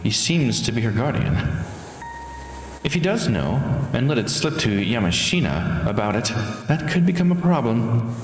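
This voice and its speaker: A man's voice: medium pitch, very animated, moderate pace, pitched above the performer's own voice, close to an everyday voice, rough recording. A man voicing a shrewd, self-assured investigator in a visual novel, probing and insistent yet composed. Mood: pondering. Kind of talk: visual novel character read-aloud.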